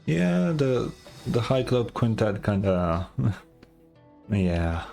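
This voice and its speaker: A man's voice: on the low side, very animated, very slow, gravelly, mellow. A man giving blunt, sweary, casual reactions as he streams a game. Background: game music.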